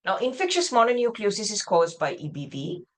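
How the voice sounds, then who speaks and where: A woman's voice: mid-range voice, sing-song, fairly quick. A woman from Sri Lanka lecturing as a pathologist over a video call, explaining in a discursive way and often rephrasing mid-sentence. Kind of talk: medical lecture with slides.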